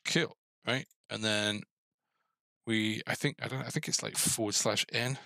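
Low, gravelly man coding out loud. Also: measured pace, no room sound. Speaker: game developer. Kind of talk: casual coding commentary. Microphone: headset.

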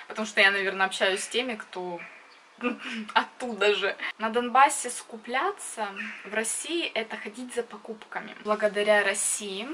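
A young woman in her twenties from Donbass with a Donbass accent, chatting casually to camera in a small wood-panelled room, blunt and quick to laugh. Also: mid-range voice, pushed and bright, a little hiss or hum. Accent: Donbass Russian. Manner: chatty and blunt, laughs often, gestures with hands. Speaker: vlogger. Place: small indoor room with wooden wall.

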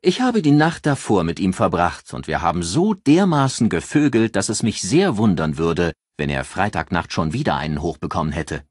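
A man's voice: deep voice, very animated, normal speed, few pauses, breathy edge, dry recording, studio quality, full character voice. A man voicing a self-assured career woman in an audiobook, with a cool, drawling, ironic and taunting manner. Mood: taunting, frank.

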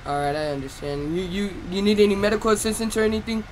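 Male gamer, fire officer roleplay, informal chatter, raised pitch, noisy siren-and-engine backdrop. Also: fast, a little mellow. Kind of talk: in-character game roleplay chat.

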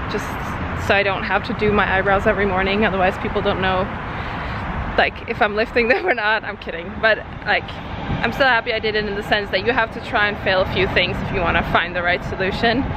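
A young woman vlogging to a handheld camera outdoors in a city, talking casually with lots of fillers and pauses. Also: medium pitch. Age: young adult. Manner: chatty, hesitant, many fillers. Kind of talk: casual vlog talk to camera. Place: outdoors in a city, under a bridge. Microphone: handheld camera at arm's length. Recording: outdoor street noise.

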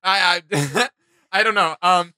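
A young man with a fairly high, very bright and forceful voice talks casually. He sounds enthusiastic and slangy, like someone reacting on video, and the sound is dry and close. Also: slow pace, no room sound. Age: young adult. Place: small indoor room with gaming setup.

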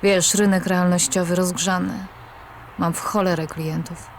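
A woman's voice: lowish voice, level tone, a little slow, a little hiss or hum. An actress playing a busy, hesitant career woman on a phone call in an audio drama, casual and noncommittal.

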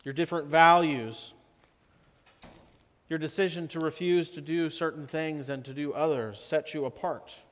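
A man in his thirties preaching a sermon as pastor, slow and measured, often dropping to a softer tone for emphasis. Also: a little high, steady tone. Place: church sanctuary. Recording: long church reverb.

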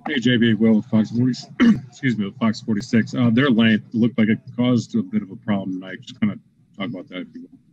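A man, a TV sports reporter, questions a coach over a video call headset. He talks fast in a low voice, and the call audio sounds dull and lo-fi. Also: a little mellow, slight background noise.

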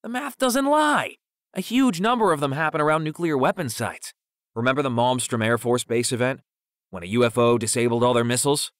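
A man acting out a hyper young stoner guy, pitched up. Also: fairly quick, a bit bright, no echo, clean studio sound, close to an everyday voice. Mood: insistent, excited. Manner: hyper, emphatic cadence. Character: hyper stoner teenage conspiracy theorist, excitable young man. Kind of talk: audiobook character acting.

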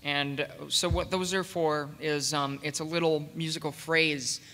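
A man with a slightly high, bright voice speaks on a panel in a reverberant hall. He explains in a halting, unscripted way, pausing to find his words. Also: level tone. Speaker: panelist explaining audio production. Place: large convention hall, panel table.

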